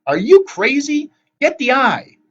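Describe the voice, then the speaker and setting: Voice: mid-range voice, animated, brisk, slightly rough, muffled recording. A narrator voicing a bossy, insistent teenage girl with a matter-of-fact, urgent manner in a storybook reading.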